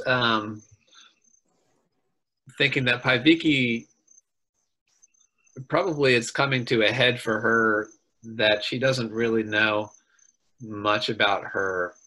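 A man's voice: mid-range voice, sharp tone, low-quality recording. A man playing in a tabletop game, musing over his character's thoughts on a video call, with many ums. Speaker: player. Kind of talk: casual talk about a character at a tabletop game.

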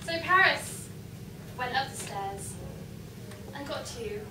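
A woman's voice: high voice, lively intonation, slow. A woman improvising a comic story on a small live stage. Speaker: improv comedy performer. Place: small comedy club stage. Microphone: distant camera. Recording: echoey, noisy room recorded from far off.

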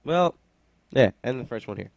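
A man's voice: mid-range voice, expressive, moderate pace, vocal fry, darkish tone, no echo. A man from Pennsylvania giving casual, joking let's play commentary with sarcastic asides. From Pennsylvania, USA.

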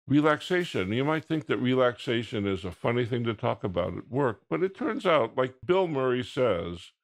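A man in his sixties giving a prepared video keynote, explaining in a measured, deliberate way with pauses. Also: medium pitch. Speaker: keynote speaker. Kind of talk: prepared presentation to camera. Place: indoors, recorded video presentation.

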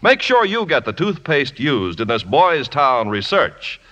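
A man's voice: medium pitch, sing-song, unhurried, pushed and bright. A man announcing on 1950s radio, reading scripted ads and credits with polished, emphatic salesmanship. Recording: dull, reverberant 1950s radio recording.

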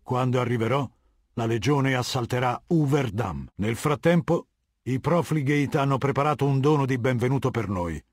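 Guy voicing a husky middle-aged game warlord, cold and bossy, super dry close sound. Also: medium pitch, dry recording, close to an everyday voice. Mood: cold, commanding. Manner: authoritative, measured, imperious. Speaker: voice actor. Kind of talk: video game dubbing.

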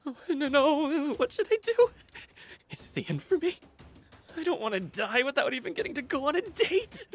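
Timid young junker, highish swooping voice, stammering, melodramatic, easily frightened, video game. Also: female voice, big pitch swings, moderate pace. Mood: panicked. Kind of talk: video game cutscene acting.

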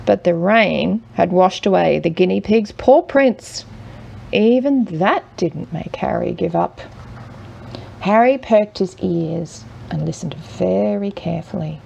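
A woman reading a children's picture book aloud as a mother would, expressively and with dramatic pauses. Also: lowish voice, creaky, slight background noise. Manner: measured, with dramatic pauses and hushed drawn-out words. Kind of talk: expressive children's storybook narration.

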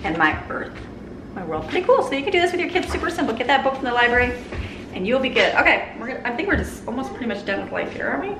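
A woman's voice: on the high side, very animated, racing. Chatty woman vlogging in echoey kitchen. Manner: chatty, explaining as she works. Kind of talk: casual home vlog. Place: home kitchen. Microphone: handheld camera. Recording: echoey kitchen with room noise.

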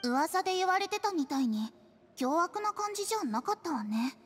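Casting: creepily sweet game child, girlish and very high-pitched. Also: big pitch swings, moderate pace. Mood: curious. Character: sweetly creepy little girl fond of potions. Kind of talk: Japanese anime game acting. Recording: clear background music.

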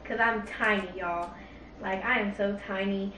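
Bright, sassy young woman. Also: mid-range voice, steady tone. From Florida. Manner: sassy, playful, quick slang. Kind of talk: casual family Q&A. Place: indoor bedroom, on a couch. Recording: echoey room, mic at a distance, faint hiss.

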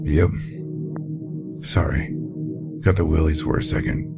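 Guy playing gruff army captain, real deep voice, rattled and sweary, audio drama w/ loud music under it. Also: unhurried, exaggerated voice. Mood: grim, uneasy. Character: shaken, foul-mouthed military captain recounting losing his squad. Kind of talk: audio drama, interview log acting. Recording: voice over loud background music.

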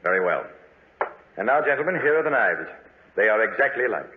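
A man's voice: fairly low pitch, sing-song, brisk, slight background noise. An actor playing a businesslike small-town man in 1940s radio drama style. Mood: formal. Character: brisk, businesslike small-town newspaperman or official. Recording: tinny 1940s radio recording.

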